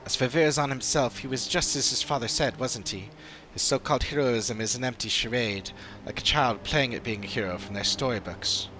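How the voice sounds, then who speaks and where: A man voicing an earnest, formal fantasy adventurer in video game dialogue, with measured and serious delivery. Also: medium pitch, a bit bright, slight background noise, close to an everyday voice, rough recording.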